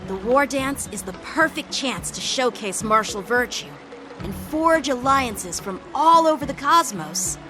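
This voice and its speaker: A woman's voice: on the high side, lively intonation, unhurried. A woman voicing an earnest, eager teenage boy, a proud young knight in a video game. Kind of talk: English video game voice acting. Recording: music behind the voice. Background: game soundtrack music.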